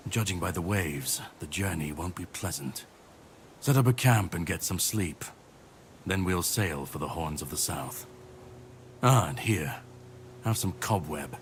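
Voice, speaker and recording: Weary grim warrior, low-pitched, grave measured delivery, video game drama, clear background noise. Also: male voice. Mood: businesslike, conspiratorial. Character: grim world-weary warrior man, self-styled savior on a mission.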